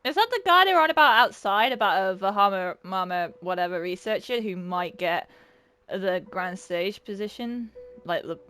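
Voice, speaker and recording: A woman's voice: mid-range voice, animated, normal speed, sharp tone, clear, resonant tone. A woman giving casual, sarcastic let's-play commentary, swearing freely as she reacts. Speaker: gaming commentator.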